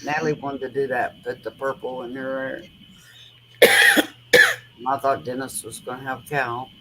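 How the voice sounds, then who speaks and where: A woman's voice: extremely low pitch, huge pitch swings, normal speed, sharp tone, slight background noise, poor audio. Folksy middle-aged grandmother chatting. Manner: homey, rambling, folksy grammar. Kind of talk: casual chat.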